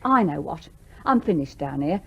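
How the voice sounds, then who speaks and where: An actress playing a motherly, no-nonsense English working-class housemaid in a radio play, brisk and colloquial. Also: deep voice, sing-song, husky, full character voice. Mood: chatty, fond. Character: plain-spoken, motherly, sturdy housemaid, no-nonsense and loyal. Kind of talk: 1970s radio play acting. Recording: dull, lo-fi old radio recording.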